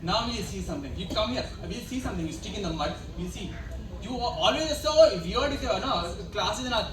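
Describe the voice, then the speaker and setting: A woman's voice: mid-range voice, expressive, fairly quick, over music, lightly acted. A young woman with an Indian English accent playing a cocky, sulky male former student in an amateur stage comedy, arguing in an insolent, whiny way. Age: young adult. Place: indoor college event stage, distant mic. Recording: echoey, noisy hall from far off.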